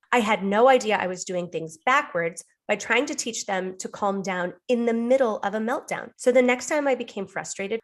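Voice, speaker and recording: A woman's voice: mid-range voice, a bit bright. A woman, around her thirties, talking conversationally as a podcast co-host.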